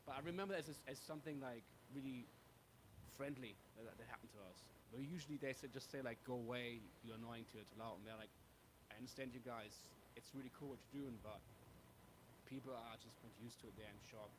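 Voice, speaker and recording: A man of medium pitch chats in a halting, tentative way as an interviewed musician. Clear ambient noise sits behind his voice. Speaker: busking musician being interviewed. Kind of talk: casual anecdotal chat.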